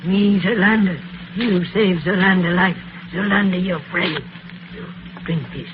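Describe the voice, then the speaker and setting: An actor in an old radio drama playing a friendly woodland healer who speaks broken pidgin English in short phrases. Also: male voice, sits high, steady tone, a little slow. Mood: solemn, helpful. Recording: tinny, noisy old radio recording.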